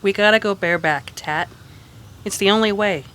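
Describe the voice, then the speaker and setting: A woman playing a steady, practical frontier woman, firm and matter-of-fact, in a western radio drama. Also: mid-range voice, moderate pace, natural voice. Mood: firm, urgent.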